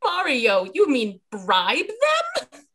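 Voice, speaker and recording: A woman's voice: high-pitched, wildly swooping pitch, extremely slow, a bit bright, a little hiss or hum, heavily acted. A woman playing an earnest, anxious young plumber brother, plaintive and melodramatic, in an amateur script read-through.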